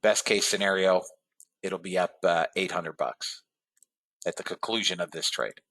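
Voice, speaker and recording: A man hosting a live trading webinar, talking casually to his viewers and calling them guys and fellas, a bit hoarse. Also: low voice, normal speed, fairly bright tone. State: slightly hoarse voice. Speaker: trading room host.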